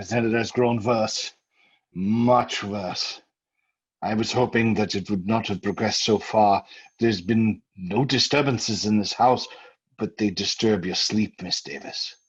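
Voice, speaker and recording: Grave occult scholar for vintage radio horror: husky, fretful, deliberate, on a dull lo-fi recording. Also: male voice, on the low side. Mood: grave, troubled. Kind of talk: old-time radio drama acting. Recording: muffled old radio recording.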